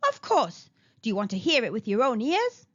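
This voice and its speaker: A woman's voice: on the high side, wildly swooping pitch, moderate pace, fairly bright tone, no echo, heavily acted. A woman voicing a stingy, smug male town mayor who makes grand, showy promises. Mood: pompous. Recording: dull lo-fi recording.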